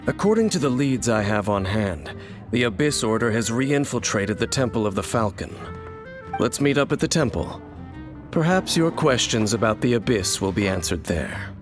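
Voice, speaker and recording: An actor plays a mysterious, brooding wanderer in a video game drama. His voice is low and grave, with measured, cryptic and formal phrasing. Music sits clearly behind him. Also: expressive, close to an everyday voice. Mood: guarded, pensive. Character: brooding, mysterious male wanderer opposed to dark forces.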